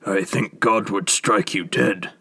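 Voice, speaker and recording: A man voicing a kindly, frail old man who speaks gently and gravely. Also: on the low side, slow pace, heavily acted.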